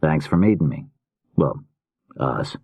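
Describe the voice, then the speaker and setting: A man's voice: extremely low pitch, expressive, slow pace, darkish tone, husky, no echo, exaggerated voice. A man voicing a patient, easygoing middle-aged police detective who explains things matter-of-factly in an audiobook. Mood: friendly, awkward. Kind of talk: audiobook character dialogue.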